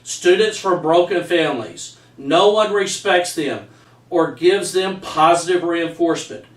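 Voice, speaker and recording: A middle-aged man, a teacher earnestly reading his own story aloud and stumbling over some words. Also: on the high side. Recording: echoey room, distant microphone.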